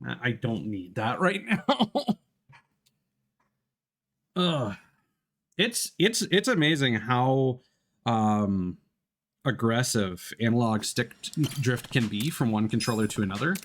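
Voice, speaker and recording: A man's voice: medium pitch, lively intonation, slow, pauses often. A man doing casual live commentary as he streams a video game. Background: loud video game music.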